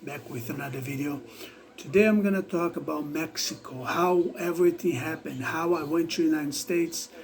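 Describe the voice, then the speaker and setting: A Brazilian man with an accent talking to camera in a casual vlog monologue, rambling and halting, with many restarts. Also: fairly high pitch, gravelly. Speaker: vlogger.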